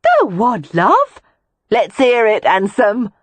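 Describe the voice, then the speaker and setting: A woman voicing coarse, nosy brothel women, calling out shrill and brassy. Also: huge pitch swings, slow pace, dry recording, full character voice. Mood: heckling.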